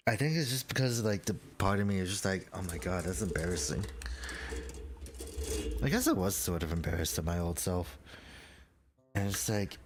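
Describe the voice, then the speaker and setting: A man's voice: sits low, a little mellow. A young man with a stuffy nose, chatting casually and rambling with lots of fillers while building a model kit. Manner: rambling, self-deprecating, full of fillers.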